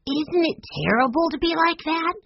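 A storybook narrator voicing an eager, impatient little boy who blurts things out excitably. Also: very high-pitched, moderate pace, dull audio, slight background noise, pitched above the performer's own voice. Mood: troubled wonder. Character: eager hungry little boy, youngest grandchild.

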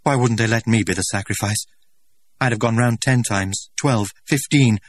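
A man's voice: medium pitch, normal speed, dry recording, clean studio sound, voice pushed up, natural voice. A man doing an anguished, theatrical young stranger. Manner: trembling with emotion, old-fashioned theatrical phrasing. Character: emotional young man raised on a reservation, archaic speech.

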